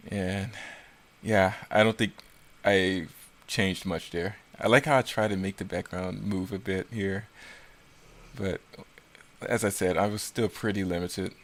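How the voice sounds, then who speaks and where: A man with a fairly low voice reviews his own work in an unscripted way. He rambles casually and picks at his own flaws as he goes. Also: fairly even intonation, unhurried. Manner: self-critical, rambling. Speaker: creator commenting on own work.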